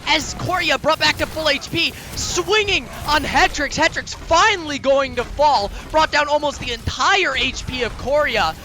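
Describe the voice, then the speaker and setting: Young guy calling esports play-by-play, super high voice, bright and punchy. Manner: rapid play calling that climbs in energy during fights. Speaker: play-by-play caster.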